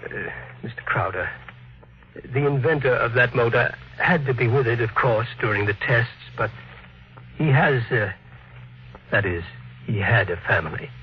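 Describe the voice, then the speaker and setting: An actor playing a formal, deferential project manager, speaking precisely in old-time radio drama style. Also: male voice, medium pitch, expressive, normal speed, long pauses, lightly acted. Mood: hesitant, respectful. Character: deferential, businesslike male project manager reporting to boss. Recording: muffled, hissy vintage radio recording.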